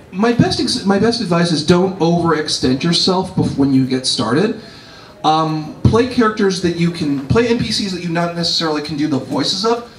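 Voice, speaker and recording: A man's voice: a little high, a little hiss or hum. A middle-aged man, a game master, chatting casually on a convention panel. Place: large convention room. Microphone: handheld mic, heard from across the room. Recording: echoey room from far off.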